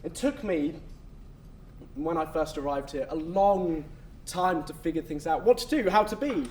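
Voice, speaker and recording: An actor playing a gentle, reassuring male official who speaks in a measured way in a stage play. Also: a little high, natural voice. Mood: reflective. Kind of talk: stage acting. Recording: roomy distant stage sound with noise.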